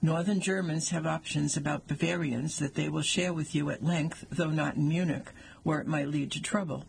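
Older man, 60s, essay reader, wry delivery, gravelly edge, faint background noise. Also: fairly high pitch, steady tone, moderate pace, low-quality recording. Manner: wry, dry humor. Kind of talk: reading an essay aloud.

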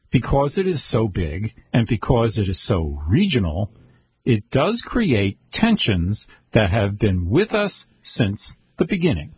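A man reading world news aloud at a slow, halting pace, stumbling over words and correcting himself. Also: medium pitch, slightly rough, no echo. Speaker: news reader.

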